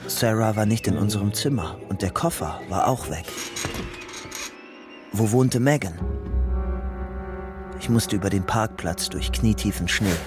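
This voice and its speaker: Schauspieler als tiefer, angespannter Ich-Erzähler. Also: male voice, on the low side, measured pace, pauses often, over music, close to an everyday voice. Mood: tense, sober. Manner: sober, tense, clipped sentences. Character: young fugitive man telling his own grim story. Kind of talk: scripted first-person narration in a radio crime drama.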